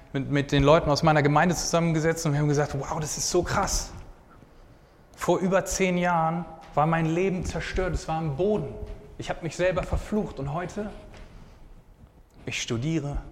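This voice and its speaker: A man in his twenties preaching a sermon and sharing his own testimony in an earnest, casual way. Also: on the high side. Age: 20s. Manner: earnest, casual, often says "hey". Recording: hall reverb, faint background noise.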